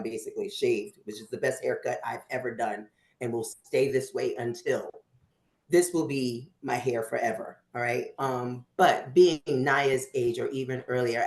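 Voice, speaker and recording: A woman's voice: a bass voice, darkish tone, echoey room. A woman in her fifties hosting a casual group chat, chatty and laughing often. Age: 50s. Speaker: host.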